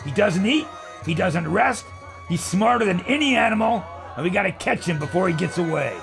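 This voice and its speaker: A man's voice: sits high, animated, moderate pace, gravelly, music in the background. An actor playing a brash, folksy, confident adventurer leading a party in a pulp radio adventure, hearty and persuasive as a salesman. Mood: coaxing. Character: brash, jolly, confident male adventurer and leader.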